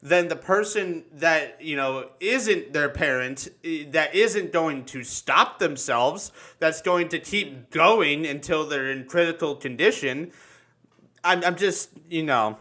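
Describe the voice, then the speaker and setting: Guy on a casual stream chat, higher sharp voice, stumbles over words, swears a lot. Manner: halting, repeats words, swears freely. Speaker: livestream talk show host. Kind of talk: casual chat, personal storytelling.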